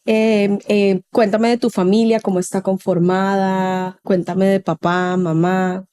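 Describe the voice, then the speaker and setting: Mellow Colombian woman interviewing. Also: medium pitch, little pitch movement, unhurried, smooth. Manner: direct, probing questions. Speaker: podcast host, pastor. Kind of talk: conversational interviewing.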